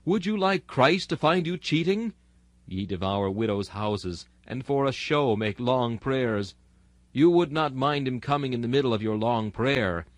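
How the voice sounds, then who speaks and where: Guy reading an old victorian style sermon, solemn and urging, medium pitch, super dry recording. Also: animated, a little slow, no echo. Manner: exhortatory, rhetorical, solemn. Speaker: sermon reader. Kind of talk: dramatic sermon reading in archaic Victorian English.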